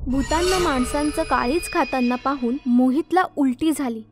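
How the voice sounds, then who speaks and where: A woman's voice: high voice, normal speed, noisy background. A woman reading scripted horror story narration as the storyteller. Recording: loud music bed under narration. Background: loud background music.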